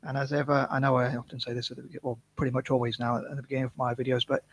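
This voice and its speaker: Hesitant monotone man, husky voice. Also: mid-range voice, very fast. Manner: hesitant, many restarts and fillers, self-conscious. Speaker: vlogger. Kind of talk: rambling casual monologue.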